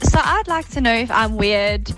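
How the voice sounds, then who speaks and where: A woman's voice: a little high, expressive, moderate pace, music in the background. Woman in a radio voice note. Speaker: caller.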